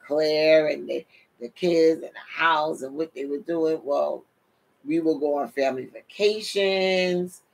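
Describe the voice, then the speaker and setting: A woman's voice: low voice, gravelly, slightly rough, low-quality recording. A middle-aged woman from New York with a New York accent and Caribbean touches, giving a sermon-style testimony from a home office over a webcam, speaking animatedly and calling listeners "people". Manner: animated, addresses listeners as "people", gestures with hands. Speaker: preacher and show host. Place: home office, seated in desk chair.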